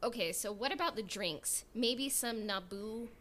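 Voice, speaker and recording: A woman's voice: on the high side, measured pace, bright, forceful tone. A woman co-hosting a podcast in casual group banter, wry and thinking things over as she talks. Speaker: podcast co-host.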